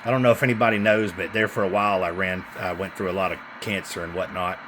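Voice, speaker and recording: Man, fairly deep voice, informal seller's walkthrough, halting delivery, noisy hissy recording. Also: flat delivery. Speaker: CB radio seller. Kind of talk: casual product demonstration. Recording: noisy room with radio hiss.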